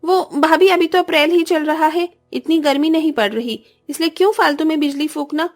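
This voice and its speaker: A woman's voice: high-pitched, moderate pace, faint music behind, lightly acted. A woman playing a greedy, spoiled young sister-in-law in a cartoon, wheedling with sugary, theatrical pleading. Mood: sly, sweet. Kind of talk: Hindi cartoon family-drama acting.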